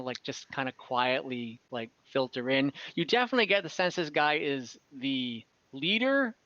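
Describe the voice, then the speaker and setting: A man narrating an improvised tabletop game as game master, in a casual, halting way. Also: mid-range voice, fairly bright tone, no echo, lo-fi sound.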